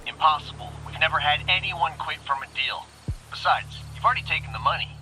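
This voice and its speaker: A man's voice: on the high side, fairly quick, bright, forceful tone, slight background noise, low-quality recording. A man playing a menacing, mocking criminal boss in a video game. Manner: threatening, mocking. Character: menacing criminal boss running a shady operation.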